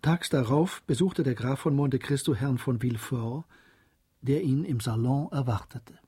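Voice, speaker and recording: An actor serving as the calm storyteller of a German radio drama, narrating literary prose in a mellow, husky voice. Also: male voice, mid-range voice, moderate pace.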